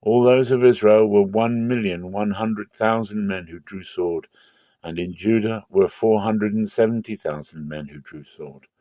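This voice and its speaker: A man giving a solemn, deliberate dramatic reading of scripture as a narrator. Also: on the low side, warm tone, no echo. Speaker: scripture reader.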